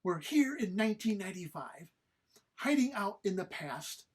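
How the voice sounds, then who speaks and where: Woman acting husky, haughty, sarcastic companion. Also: medium pitch, big pitch swings, moderate pace, a little mellow. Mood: exasperated. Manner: arch, formal, sarcastic. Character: haughty, exasperated, scolding companion, dry and disdainful. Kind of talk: informal script read-through of audio comedy.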